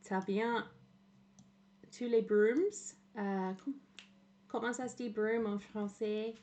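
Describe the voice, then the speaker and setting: Slow-talking Englishwoman with an English accent. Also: medium pitch, fairly even intonation, darkish tone. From England. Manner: hesitant, frequent "euh" fillers, mixes in English words, laughs often. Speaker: streamer. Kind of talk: casual livestream commentary.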